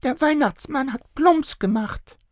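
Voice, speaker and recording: A man reading a storybook, voicing a stubborn, earnest little girl in a high childlike voice. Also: a little slow, no echo, studio quality.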